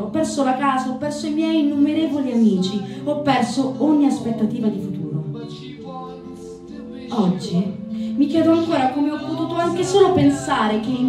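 An actress playing a desperate, defiant young mother who delivers an emphatic theatrical monologue with deliberate phrasing. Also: high voice. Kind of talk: theatrical monologue, letter declaimed on stage. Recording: roomy echo, distant mic, music.

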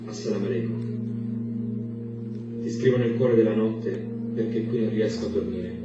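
A man's voice: on the low side, fairly even intonation, moderate pace, natural voice. An actor playing a male prisoner reading out his tender, wistful letter home as a dramatic monologue. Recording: distant, very echoey, muffled, music over it. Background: music.

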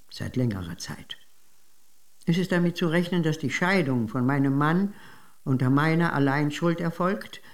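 A man reading quoted documents for radio in a formal, clipped, bureaucratic manner. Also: a little high.

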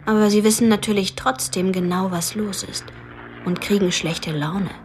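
A woman's voice: medium pitch, fairly even intonation, moderate pace, slight background noise, natural voice. A woman acting in a radio drama as a playful young sister, earnestly acting out a grim hospital game. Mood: hushed, grim. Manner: earnest make-believe.

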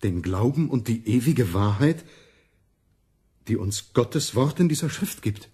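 An actor playing an earnest, stubborn and anxious monk in a radio play, insistent and plain-spoken. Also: male voice, mid-range voice, lively intonation, unhurried, warm tone, husky.

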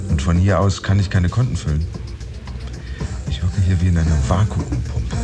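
A man playing an anxious, secretive unemployed husband figure in a radio drama, confiding his thoughts in a hesitant, brooding monologue. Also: deep voice. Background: music under the voice.

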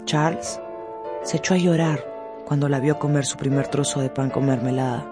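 A woman's voice: rumbling low, fairly even intonation, moderate pace. A woman as narrator, giving a dramatic scripted literary narration for a radio drama. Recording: music under the narration.

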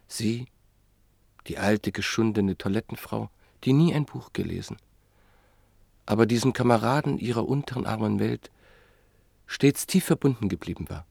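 An actor playing a reflective writer who narrates in a measured, literary way in a radio play. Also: male voice, lowish voice, vocal fry, a little mellow, breathy edge, no room sound. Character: reflective male writer and book collector, narrator.

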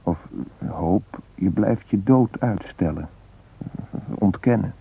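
An actor playing a shaken former hostage, retelling a brush with death in an intense, introspective radio drama monologue. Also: male voice, fairly low pitch, slow pace, vocal fry, breathy edge, full character voice. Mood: intense, reflective. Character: shaken male former train hostage recounting facing death. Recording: muffled, narrow-band old radio recording.

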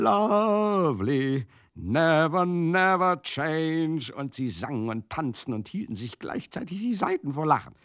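An actor playing a rambling, excitable manager who reminisces in a confiding, theatrical monologue for a radio play. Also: male voice, medium pitch, vocal fry, no room sound, clean studio sound, exaggerated voice. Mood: exuberant. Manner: conversational, confiding, theatrical, halting and then bursting out. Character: rambling, excitable manager reminiscing wistfully about old glory days.

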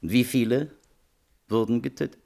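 Man acting a gravelly, sardonic prosecuting attorney. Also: mid-range voice, darkish tone, natural voice. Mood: probing, insistent. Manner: needling, pointed, deliberate questioning. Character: sardonic male prosecuting attorney, probing and insistent. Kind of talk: German radio play acting, courtroom interrogation.

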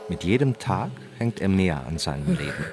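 An actor playing a knowing narrator of a dark crime tale, delivering dramatic radio drama narration deliberately and ominously. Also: male voice, sits low, very animated, over music.

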